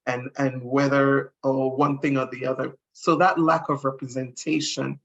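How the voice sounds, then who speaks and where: A woman's voice: a bass voice, flat delivery, normal speed, dull audio. A middle-aged woman, a guest speaker, answering interview questions earnestly and reflectively, often restarting her sentences.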